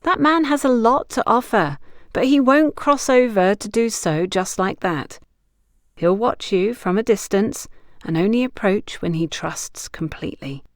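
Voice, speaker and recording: A woman's voice: medium pitch, animated, measured pace, no echo, clean studio sound. A woman narrator voicing a frank, teasing female confidante who gives knowing advice in an audiobook. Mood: reflective, advising. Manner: playful, knowing, instructive. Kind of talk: audiobook character dialogue.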